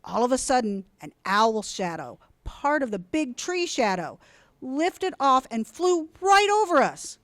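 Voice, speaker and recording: A woman reads a children's story aloud with deliberate, dramatic phrasing. Her voice is fairly high and very lively in pitch, and she takes her time with an unhurried pace. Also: dry recording. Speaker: storybook reader. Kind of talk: expressive children's read-aloud.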